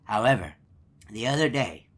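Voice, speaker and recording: A man's voice: medium pitch, expressive, normal speed, fairly bright tone. A man voicing a chatty male hippo animatronic who tells a long folksy story, rambling with lots of uh and you know. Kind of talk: game character monologue.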